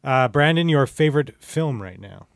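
A man's voice: medium pitch, lively intonation, normal speed, vocal fry, no echo, clean studio sound. A man hosting a podcast quiz in casual, playful banter with a jokey manner. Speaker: podcast host running a quiz. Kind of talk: casual comedic banter with guests.

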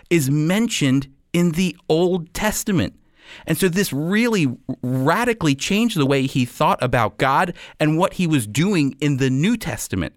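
A man giving a radio Bible teaching, explaining and reading scripture with emphatic, deliberate phrasing. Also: on the high side, dry recording, studio quality.

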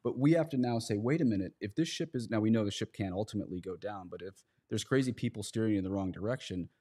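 Low-voiced priest in podcast discussion. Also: male voice, quick pace, mellow, husky. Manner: earnest, explains with plain analogies. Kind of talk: conversational religious discussion.

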